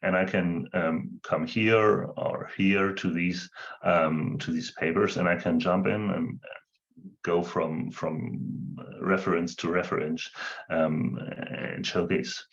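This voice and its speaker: A low, gravelly man with non-native English talks through a demo, stopping often on ums and uhs. His audio is dull and lo-fi, like an online call. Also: fairly even intonation. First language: not English. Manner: halting, many ums and uhs. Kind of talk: screen-share demonstration.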